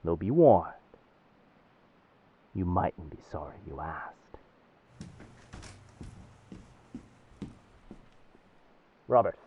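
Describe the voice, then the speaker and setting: A man's voice: sits low, narrow, tinny audio, a little hiss or hum. A man playing a roguish, commanding pirate captain in a roleplay audio drama, speaking in old seafaring diction. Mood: menacing, indignant. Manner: old seafaring diction, addresses others as lass and sir.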